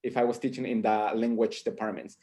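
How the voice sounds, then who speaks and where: A man's voice: fairly low pitch, level tone, brisk, warm tone. A man speaking as a professor on a panel over a video call, reflective and often checking in with "right?". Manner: reflective, tags points with "right?".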